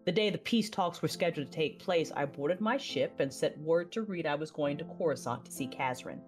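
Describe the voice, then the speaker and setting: Woman acting low-voiced, reflective Jedi mother. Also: brisk, a little mellow, close to an everyday voice. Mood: reflective, wary. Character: Jedi woman and secret mother, reflective, war-weary, loving. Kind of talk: scripted first-person audio drama narration. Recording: music under the voice.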